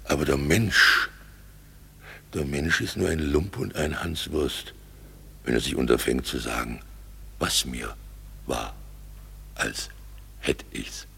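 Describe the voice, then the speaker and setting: A man acting a foolish, boastful craftsman in a German radio play, halting and wonderstruck, with a gravelly, husky voice. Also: a bass voice, pushed and bright, heavily acted. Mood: wonderstruck, musing. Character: foolish boastful craftsman waking bewildered from a dream.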